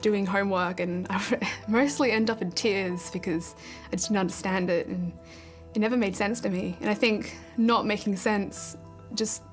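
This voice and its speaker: A woman's voice: mid-range voice, steady tone, moderate pace. Candid young-sounding female student. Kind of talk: documentary interview. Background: background music.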